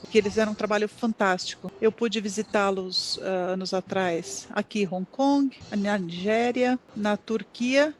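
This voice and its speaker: A simultaneous interpreter renders a lecture live, halting often and restarting words to keep up. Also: mid-range voice, a little hiss or hum. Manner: halting, frequent restarts and repeated words while keeping up with the speaker. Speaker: simultaneous interpreter at an education conference talk.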